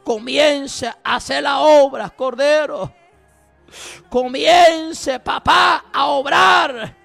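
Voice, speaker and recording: A man leading fervent intercessory prayer, shouting and pleading in repeated commands, breaking into tongues. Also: very high voice, drawn-out words, gravelly, faint music behind.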